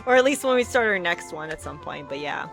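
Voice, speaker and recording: A woman's voice: on the low side, lively intonation, quick pace, gravelly. A woman game streamer chatting casually on a livestream in a rambling, giggly manner. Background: video game music.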